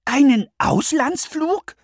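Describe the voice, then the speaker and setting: A man voicing a small, friendly three-legged young dog in a children's audiobook, in an earnest, squeaky voice. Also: animated, measured pace, fairly bright tone, no echo, studio quality, heavily acted. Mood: eager.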